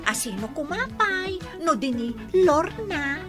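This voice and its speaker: A woman's voice: high voice, big pitch swings, slow, over music, exaggerated voice. A woman acting a jealous, spiteful, boastful young village woman in an Ilocano radio comedy drama, with an Ilocano accent and a catty, dramatic delivery. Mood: catty, mocking.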